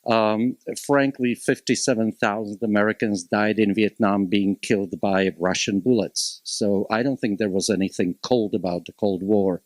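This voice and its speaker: A man in his fifties from Slovakia with a Slovak accent, speaking as a panelist at a public policy forum, measured and emphatic with frequent hesitations. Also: lowish voice, fairly even intonation, dry recording. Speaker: panelist from a local college.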